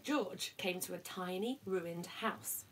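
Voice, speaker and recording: A young woman reading a picture book aloud to her toddler in a child's bedroom, animated and coaxing, with quiet asides. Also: on the low side, slow, a little hiss or hum. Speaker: parent reading a bedtime story.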